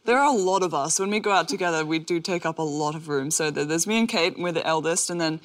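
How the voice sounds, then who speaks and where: A woman's voice: sits low, fast talker. A woman, answering interview questions in a chatty, self-deprecating way with lots of fillers. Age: 20s. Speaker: interview guest, athlete.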